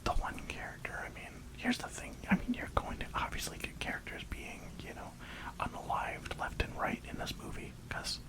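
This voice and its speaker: A reviewer whispering an enthusiastic, rambling ASMR film review in a breathy, unvoiced voice. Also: moderate pace, rough recording. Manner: enthusiastic, rambling, emphatic repetition.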